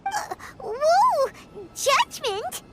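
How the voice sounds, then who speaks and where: A woman voicing a tiny, childlike, excitable fairy companion in a video game, squeaky and expressive. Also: extremely slow, very noisy, full character voice. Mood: startled. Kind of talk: English video game voice acting. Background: loud game music.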